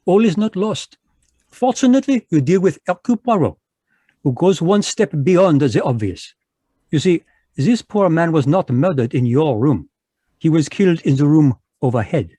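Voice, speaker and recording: A fussy, courtly Belgian detective played by a man in a vintage radio mystery, with a put-on French accent. He lectures in a mellow, slightly high voice and drops French words between his deductions. The sound is dry and close. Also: lively intonation, normal speed, husky, no echo. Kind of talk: 1940s radio acting. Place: home, live video call.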